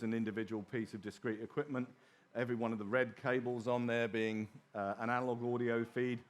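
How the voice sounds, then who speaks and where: A middle-aged man giving a technical conference presentation in an informal way with wry asides. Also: medium pitch. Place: small open presentation theatre at a trade show.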